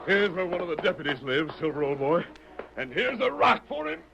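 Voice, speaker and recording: An actor playing a righteous, resolute masked rider of the West in an old-time radio drama, with a clipped, authoritative delivery. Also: male voice, sits high, sing-song, a little fast, creaky, over music, heavily acted. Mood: rousing. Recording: dull lo-fi old radio recording.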